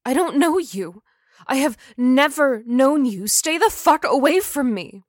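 A woman voicing a young woman's ghost, confused and hostile, who shouts and swears. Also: mid-range voice, lively intonation, slow pace, no echo, clean studio sound. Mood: furious.

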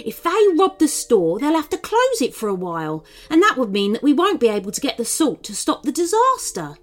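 A woman's voice: high voice, wildly swooping pitch, a little fast, faint music behind, pitched above the performer's own voice. A woman voicing an earnest, worrying male dog who leads the pack in a storybook, in a fretful manner. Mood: firm, concerned.

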